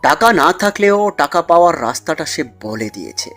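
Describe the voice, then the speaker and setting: Guy doing a smug mafia boss for an audio story, swoops up and down, kinda high voice, music under it. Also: very animated, normal speed, fairly bright tone. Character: young male mafia mastermind, cunning, smug, commanding. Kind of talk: dramatised audio story acting.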